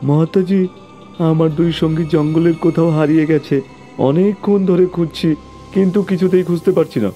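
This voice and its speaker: A man's voice: fairly high pitch, steady tone, brisk, mellow, faint music behind, voice pushed up, close to an everyday voice. Man voicing an anxious, earnest young man. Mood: worried. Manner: respectful, anxious, questioning. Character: earnest, worried young man seeking lost companions. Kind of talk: dramatized horror story for cartoon.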